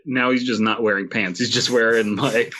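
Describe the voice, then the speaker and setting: A man's voice: mid-range voice, steady tone, normal speed, sharp tone. A man co-hosting a comedy podcast, riffing on jokes in a rambling, casual way. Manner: rambling, restarts, riffs on jokes. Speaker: podcast co-host. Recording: dull lo-fi mic.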